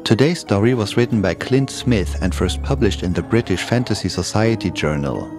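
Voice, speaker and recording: A man's voice: lowish voice, lively intonation, moderate pace, music in the background. A man narrating a scripted first-person horror story as a podcast host.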